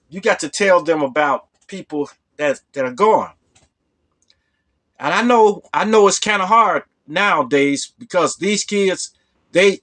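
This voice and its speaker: A hoarse man with a cold telling a rambling personal story as the host of a storytelling channel. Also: a little high, fairly bright tone. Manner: rambling, full of "you know". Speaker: storytelling vlogger. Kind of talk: casual storytelling.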